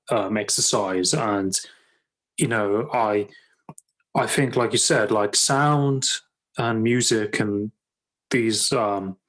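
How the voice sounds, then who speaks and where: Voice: medium pitch, poor audio. Hesitant podcast host full of fillers. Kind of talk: casual interview chat.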